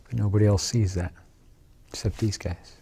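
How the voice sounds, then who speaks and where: A man being interviewed, laid-back and reflective, trailing off quietly. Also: on the low side, fairly even intonation, moderate pace, gravelly, slight background noise.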